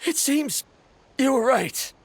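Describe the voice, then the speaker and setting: An actress playing an earnest, self-doubting young woman scholar in a video game, speaking in a halting, measured way. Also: high voice, expressive, very noisy. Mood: subdued, regretful.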